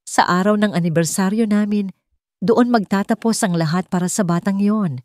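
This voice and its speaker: A woman's voice: medium pitch, a little mellow, dry recording, clean studio sound, close to an everyday voice. Woman voicing a cold, menacing rich schemer. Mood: vengeful, gloating. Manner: deliberate, threatening, sneering.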